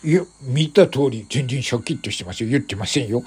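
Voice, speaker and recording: Comic skit: tipsy, flustered man, played by a man, husky and animated, rambling, excitable, over-polite. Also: mid-range voice, fairly quick. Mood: flustered, defensive. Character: tipsy, flustered, cheerful man stopped by police. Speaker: show host.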